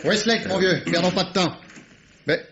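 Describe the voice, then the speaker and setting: An actor playing a brisk, familiar lawman organising a manhunt, hurried and exclamatory in old radio mystery style. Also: high voice, close to an everyday voice. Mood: brisk, impatient. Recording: muffled, noisy old radio drama recording.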